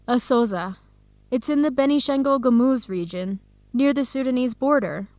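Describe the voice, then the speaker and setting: An actress playing a warm-hearted young woman in an educational radio drama, expressive and conversational. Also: high voice, normal speed, dry recording, lightly acted. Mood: friendly, teasing. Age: young adult. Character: Helen, warm-hearted young woman, defensive about her boyfriend.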